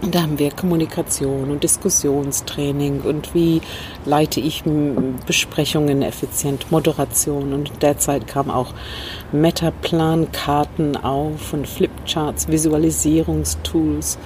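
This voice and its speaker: Woman, thoughtful and unhurried. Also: low voice, steady tone, darkish tone. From Northern Ireland. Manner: thoughtful, pauses with fillers, restarts phrases. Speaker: interview guest, communication trainer. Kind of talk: reflective storytelling. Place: rooftop terrace in a city, outdoors. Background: faint traffic noise, passing train.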